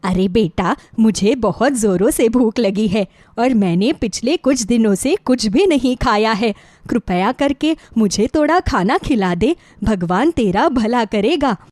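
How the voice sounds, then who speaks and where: A woman voicing a hungry, humble old woman who pleads and gives blessings in an animated children's story. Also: on the high side, unhurried, dry recording. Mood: pleading. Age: older.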